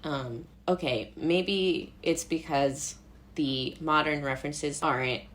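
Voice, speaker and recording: Low-voiced young American woman, chatting casually. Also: slow. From Brooklyn, New York, USA. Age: young adult. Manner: rambling, anecdotal, quoting imagined voices. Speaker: podcast guest, playwright and actor. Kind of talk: casual chat.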